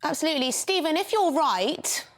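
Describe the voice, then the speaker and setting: A woman speaks as a broadcast news interviewer. Her voice is a little higher than average, and she sets out her questions in full, structured sentences. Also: lively intonation, normal speed. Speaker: news presenter interviewing a guest.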